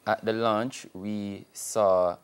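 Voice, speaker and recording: Young guy, low voice, speaks formal and earnest like a student leader on tv. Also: fairly even intonation, drawn-out words, smooth. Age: young adult. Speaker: student leader, interview guest. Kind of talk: formal answers in a TV interview. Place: television studio. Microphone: lapel.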